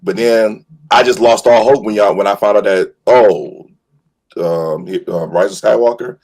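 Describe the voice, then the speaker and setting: A man's voice: lowish voice, moderate pace, darkish tone, low-quality recording. A man in a casual panel chat about films, colloquial and opinionated, often repeating phrases for emphasis.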